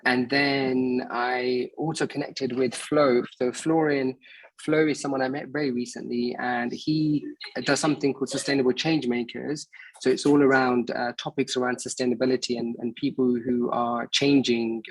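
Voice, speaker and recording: Man in his thirties, husky, hesitant. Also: medium pitch, no room sound, low-quality recording. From Bangladesh, raised in England. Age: 30s. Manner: hesitant, many fillers and restarts. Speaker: host of an online meeting. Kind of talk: casual presentation on a video call.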